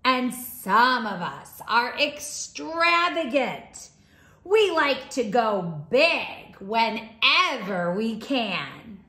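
A woman teaching young children, reading a storybook aloud in an expressive, deliberate way. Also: on the high side. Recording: roomy, echoing indoor sound.

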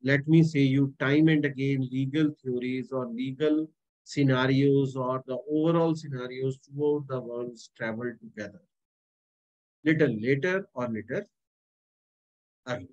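A man's voice: medium pitch, measured pace, a little mellow. A man with an Indian English accent teaching an exam-prep law lecture, stressing and repeating key points and dates. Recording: dull lo-fi recording.

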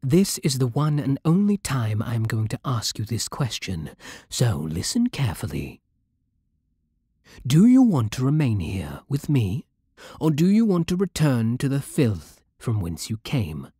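Breathy, possessive British vampire king, acted by a man. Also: medium pitch, huge pitch swings, unhurried, no room sound, clean studio sound, heavily acted. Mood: teasing, imperious. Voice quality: breathy, hushed. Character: possessive, commanding vampire king, seductive and imperious. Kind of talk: intimate ASMR roleplay.

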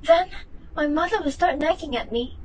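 High-pitched impulsive schoolgirl schemer played by young woman. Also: moderate pace, natural voice, rough recording. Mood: despairing. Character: impulsive, whiny schoolgirl schemer, sure of herself. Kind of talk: amateur reader's theater acting.

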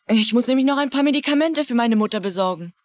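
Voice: medium pitch, animated, fast, no echo, natural voice. An actor playing an anxious, polite young schoolboy, hesitant and earnest, in a dubbed TV drama. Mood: evasive, hesitant. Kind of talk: German-dubbed TV drama acting.

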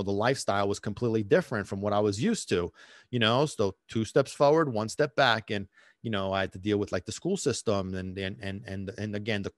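A man's voice: medium pitch, no room sound. Give me a man talking on a home podcast, rambling and restarting, dry and close. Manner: rambling, many restarts and fillers. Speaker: podcast host, dog trainer. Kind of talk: casual personal storytelling. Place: small home room. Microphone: studio mic on boom, headphones.

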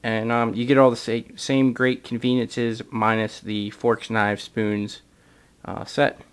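A man's voice: medium pitch, little pitch movement, slow, clear, resonant tone. A man giving a casual product walkthrough as a gear reviewer, filler-heavy, recorded indoors on a camera mic. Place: indoors, camera over a carpeted floor.

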